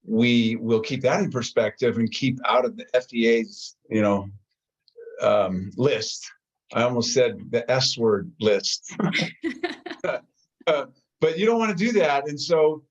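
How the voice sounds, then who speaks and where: Man with a casual, hesitant delivery, dull audio. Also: medium pitch. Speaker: guest presenter. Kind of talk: closing remarks in a webinar.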